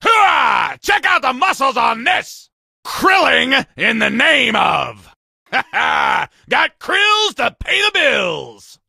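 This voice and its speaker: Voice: sits high, very animated, slow, vocal fry, pushed and bright, husky, no room sound, shouting, studio quality, full character voice. An actor voicing a small, hot-headed shrimp soldier for a video game, barking cocky drill-sergeant bluster with theatrical bravado. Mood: cocky, boastful. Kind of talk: video game character voice lines.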